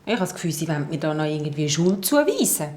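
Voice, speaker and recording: A woman's voice: low voice, big pitch swings, quick pace, a little mellow, reverberant. An actress playing a defensive, hesitant boat woman with a Swiss German accent in a naturalistic radio play. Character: defensive boat woman being questioned about a missing boy.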